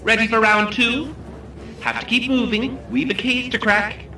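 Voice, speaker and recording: A woman's voice: on the high side, fairly even intonation, unhurried, pushed and bright, echoey room, far from the mic, poor audio. A woman with an Irish accent playing a chirpy, ghoulish tour guide in an audio drama, with theatrical, jokey patter for a group. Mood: upbeat announcing. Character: chirpy, ghoulish female tour guide hosting a coach game. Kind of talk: audio drama acting, announcing.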